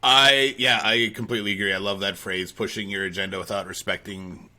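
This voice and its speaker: Bright-voiced Midwestern man, lively. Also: fairly low pitch, fairly quick. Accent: Midwestern American. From South Dakota, USA. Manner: animated storyteller, laughs easily. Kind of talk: casual conversation.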